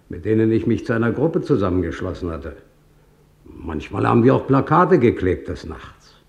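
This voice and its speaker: A man's voice: mid-range voice, creaky, darkish tone, muffled recording. An actor playing a plain-spoken wartime resistance man and survivor, giving understated testimony in a documentary radio play.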